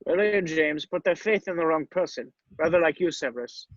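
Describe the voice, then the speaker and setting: A man acts out a grave old wizard headmaster at a script reading. He is shrewd and measured, with a somewhat high male voice. The sound is close and dry, slightly muffled. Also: no room sound, lightly acted. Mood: solemn. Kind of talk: screenplay table read acting.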